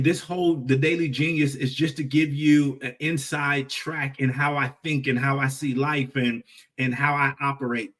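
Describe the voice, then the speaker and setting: A man's voice: mid-range voice, a bit bright, distant mic. A man in his forties hosting a motivational livestream, talking emphatically, repeating key phrases and checking in with "right?". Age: 40s. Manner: repetitive, emphatic, with rhetorical "right?" tags. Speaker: host.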